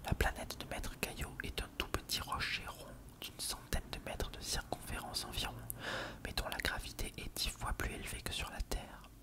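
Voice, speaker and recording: An ASMR reader whispers a scripted text in a hushed, breathy, measured way. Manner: hushed, measured reading of an encyclopedia-style text.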